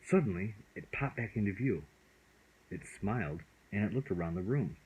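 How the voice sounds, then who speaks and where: A man reading a children's bedtime story as a father, in a deliberate way with the odd stumble. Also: fairly low pitch, expressive, narrow, tinny audio, a little hiss or hum.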